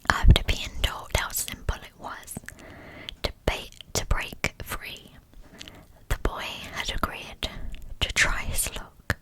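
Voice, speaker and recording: A woman narrating a scripted story as ASMR in a breathy, unvoiced whisper, close to the microphone, in short deliberate phrases. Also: sharp tone, low-quality recording. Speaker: ASMR true crime storyteller.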